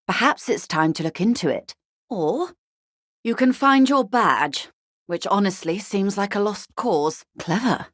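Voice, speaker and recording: A woman's voice: lowish voice, dry recording, studio quality. A woman voicing a poised, wry, upper-class corporate negotiator in a video game, speaking in a polite, formal, faintly arch way. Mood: coolly courteous. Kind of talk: video game dialogue acting.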